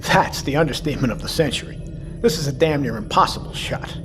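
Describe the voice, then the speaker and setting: A man playing a grave, weary submarine commander in an audio drama, measured and blunt in a military way. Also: on the high side, lively intonation, lightly acted. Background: music.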